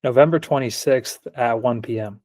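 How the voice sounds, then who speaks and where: Man demonstrating software, dry audio. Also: mid-range voice, normal speed, no echo. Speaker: product demo presenter. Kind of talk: live software demonstration, plain requests. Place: indoors on a video call.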